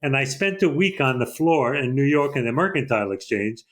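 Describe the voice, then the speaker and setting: An older man hosting an interview in a measured, conversational way. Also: medium pitch, animated, a bit bright. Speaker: host.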